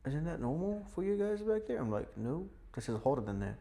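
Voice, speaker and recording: A young man who grew up in Syria, a podcast guest telling his life story in a relaxed, chatty way with frequent fillers. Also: fairly high pitch, fairly quick, gravelly. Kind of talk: casual podcast interview. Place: indoor podcast setup.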